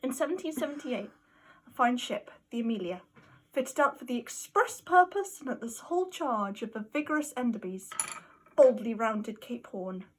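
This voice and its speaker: A woman giving an amateur dramatic reading of a classic novel, expressive and emphatic, drawing out some of her words. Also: a little high, husky, poor audio. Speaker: amateur reader.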